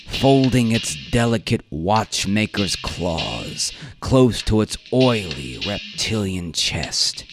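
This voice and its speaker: A man narrating a dramatic science fiction story in a theatrical, deliberate manner over plucked guitar music. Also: low-pitched. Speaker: narrator and performer of radio drama. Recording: live performance with music bed.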